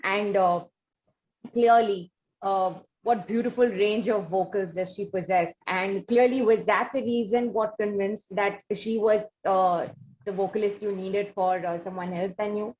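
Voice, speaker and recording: A slightly muffled recording with faint background noise carries an Indian woman's voice. She sits at a middle pitch and hosts an interview, phrasing things formally and at some length. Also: steady tone, measured pace, a little mellow. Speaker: interviewer and show host. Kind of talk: conversational interview.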